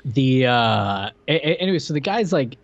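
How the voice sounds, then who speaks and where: A man's voice: mid-range voice, sing-song, normal speed, dull audio. A man co-hosting a podcast, talking casually as he reviews a film. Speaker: podcast co-host. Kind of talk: casual movie-review chat.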